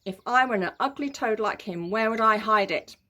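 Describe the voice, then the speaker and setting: A woman's voice: medium pitch, normal speed, pushed and bright, close to an everyday voice. A woman voicing a grumpy, scheming older brother, bossy and scornful, in a children's storybook.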